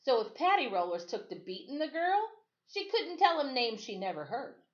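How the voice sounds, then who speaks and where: A woman reading a children's story aloud as expressive storytime narration. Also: high-pitched, moderate pace, highs cut off. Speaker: storytime reader.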